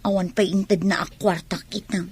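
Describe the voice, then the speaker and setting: A woman with an Ilocano accent playing a confiding character in a family radio drama, with a husky, breathy voice. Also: medium pitch, fairly even intonation, moderate pace, a little mellow. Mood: concerned.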